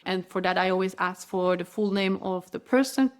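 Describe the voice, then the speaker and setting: A woman's voice: mid-range voice, moderate pace. Spanish-native woman explaining spiritual practice. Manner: earnest, flowing explanation with frequent restarts. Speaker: spiritual guide, interview guest. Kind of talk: podcast interview.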